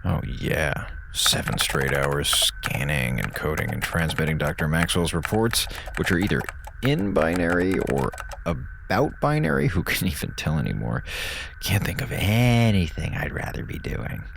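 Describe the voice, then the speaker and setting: Exasperated comms officer, audio drama. Gravelly, wildly swooping, comically put-upon, music underneath. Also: male voice, extremely low pitch, sing-song, moderate pace, noisy background, exaggerated voice. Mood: weary, sarcastic. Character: sarcastic, exasperated male communications officer. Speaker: communications officer.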